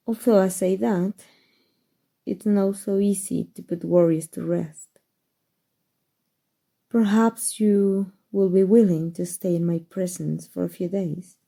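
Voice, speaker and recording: A woman playing a powerful, aristocratic vampire in an ASMR roleplay, with formal, measured, protective speech. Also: on the low side, creaky, warm tone. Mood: protective, tender.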